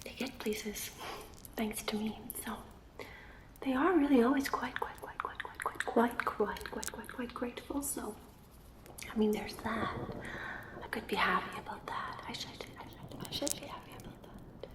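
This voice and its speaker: A woman's voice: a little high, normal speed, echoey room, natural voice. A woman voicing a playful, teasing ghost who poses riddles in an ASMR roleplay, repeating words over and over in a coaxing way. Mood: chatty, wistful. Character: playful, teasing female ghost guarding a secret passage with riddles.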